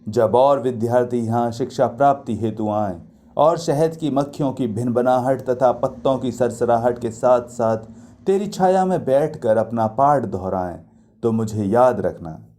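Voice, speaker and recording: A man's voice: medium pitch, unhurried, mellow, lightly acted. A man playing an earnest, devoted young student from mythology in a story, speaking reverently and in a declamatory way.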